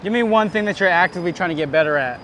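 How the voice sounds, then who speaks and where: A man's voice: high-pitched, racing, crowd noise. A man hosting a casual interview outdoors on city streets, asking short conversational questions. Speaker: host interviewing a photographer. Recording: faint city traffic noise.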